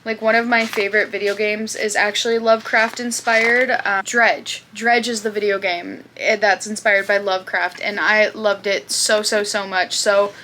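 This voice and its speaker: A young woman talks casually to her channel's viewers. Her voice is bright and sits at a middling pitch. She rambles a little, with fillers and asides as she goes. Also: steady tone, normal speed, hardly any pauses, slight background noise. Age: 20s. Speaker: booktube host. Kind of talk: casual chat.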